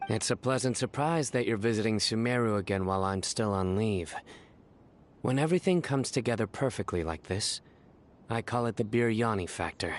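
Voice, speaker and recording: A stern, stoic man from a game, played by an actor, pitched low. He talks in a solemn deadpan, with faint noise in the background. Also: moderate pace, natural voice.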